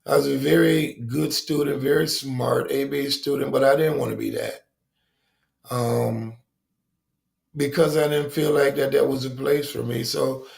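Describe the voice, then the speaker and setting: A man around sixty with a deep voice, telling his recovery story as a guest in a reflective, halting way with many pauses and ums. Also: steady tone, vocal fry, darkish tone. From Rochester, New York, USA.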